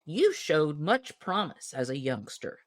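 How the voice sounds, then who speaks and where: A woman's voice: sits low, very animated, measured pace, dry recording, studio quality, heavily acted. A woman playing a very old, shrewd country deacon in a storybook, piping and folksy in an old-timer's manner. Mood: persuasive. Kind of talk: storybook character voice in audiobook reading.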